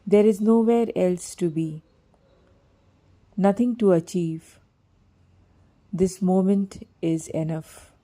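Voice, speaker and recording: Slow, mellow woman guiding meditation. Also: lowish voice. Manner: slow, soothing, gently measured delivery. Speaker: meditation guide. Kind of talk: scripted guided meditation narration.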